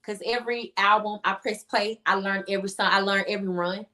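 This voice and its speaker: A woman's voice: mid-range voice, steady tone, fairly quick, pushed and bright. A woman speaking casually as an interview guest, emphatic and repeating phrases to make her point. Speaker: interview guest, singer-songwriter.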